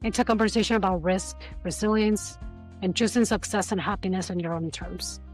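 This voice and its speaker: A woman hosting a podcast interview, opening with a measured scripted introduction. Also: medium pitch, soft background music. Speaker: podcast host.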